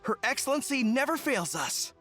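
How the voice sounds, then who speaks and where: Loyal young general, very high for a man, swooping intonation, earnest, video game style. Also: very animated, moderate pace, sharp tone, exaggerated voice. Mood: earnest, admiring. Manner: earnest, deferential, spirited. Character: eager, loyal young male army general. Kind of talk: scripted video game voice acting. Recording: game music under the voice.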